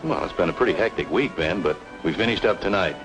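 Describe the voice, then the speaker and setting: An actor playing a weary, troubled architect in an old-time radio noir, subdued and hesitant. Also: male voice, sits low, expressive, quick pace, poor audio. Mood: weary, polite. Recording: old radio drama with music behind.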